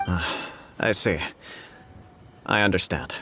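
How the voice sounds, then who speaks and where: A man playing a polite, diplomatic official in a video game, with a formal, measured manner. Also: low voice, pushed and bright, slight background noise, full character voice. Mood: accepting, polite. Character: courteous, measured male official, adult and diplomatic. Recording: clear music behind the voice.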